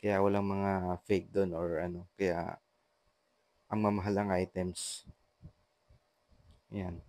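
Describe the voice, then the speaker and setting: A Filipino man narrating a casual how-to vlog in a halting, repetitive way, with short trailing phrases. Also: low voice, little pitch movement, darkish tone, no room sound. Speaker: vlogger, intercom installer.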